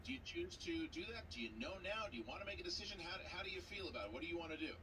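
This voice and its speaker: Higher-voiced man hosting, noisy distant mic. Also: lively intonation, very fast. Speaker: match host and announcer. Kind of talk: live event interviewing and announcing. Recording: noisy, distant-miked event audio.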